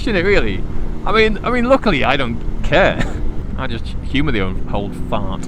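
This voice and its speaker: A middle-aged man with a Liverpool accent, rambling casually to himself as he rides, jokey, slangy and self-deprecating. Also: mid-range voice. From Liverpool, England. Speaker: motorcycle vlogger. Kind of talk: casual rambling monologue while riding. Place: outdoors on a motorbike in traffic. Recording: noisy outdoor road and traffic sound. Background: engine and traffic noise.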